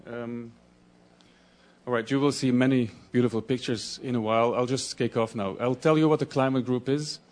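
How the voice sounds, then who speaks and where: A man from Belgium, a Dutch speaker, giving a conference presentation in a halting way, often restarting as he searches for words. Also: mid-range voice, steady tone, a little mellow.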